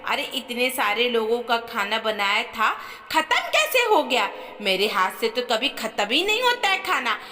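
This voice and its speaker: A bossy old mother-in-law, acted out by a woman narrator, scolds in a high, piercing voice. She is taunting and stingy, handing out orders in a family audio story, with long reverb around her. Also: sing-song, moderate pace. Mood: suspicious scolding. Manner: sharp, taunting, commanding.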